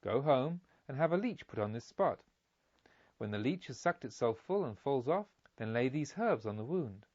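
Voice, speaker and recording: A man voicing a learned, sensible storybook physician who gives instructions in a measured, plain way. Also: mid-range voice, big pitch swings, a little mellow, dry recording, lo-fi sound. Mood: calm, instructive.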